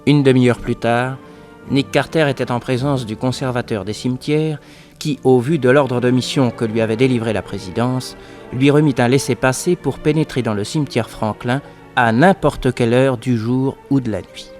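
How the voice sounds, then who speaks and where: Mid-pitched male radio serial narrator. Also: moderate pace, a little mellow, faint music behind. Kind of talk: scripted narration, 1960s French radio.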